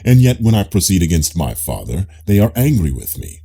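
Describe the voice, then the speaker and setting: A very dark, gravelly voice from a man acting out a pious, self-assured Greek man in an audiobook dialogue. He declaims pompously, eager to prove himself, with pitch that swings widely through each phrase. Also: very animated, a little fast, heavily acted. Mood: self-assured, insistent. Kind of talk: dramatised audiobook reading of philosophical dialogue.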